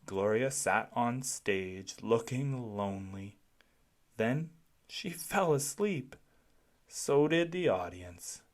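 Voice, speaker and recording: A read-aloud narrator telling a children's storybook expressively, with deliberate, dramatic phrasing. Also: medium pitch, expressive.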